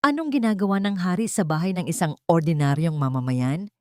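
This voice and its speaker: Narrator lady doing an icy sarcastic filipina lawyer, wary, emotionless, lowish voice, dry recording. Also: a little fast, no echo, lightly acted. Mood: cold sarcasm. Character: cold, wary, sarcastic woman lawyer in a melodrama. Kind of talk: dramatic audio story reading.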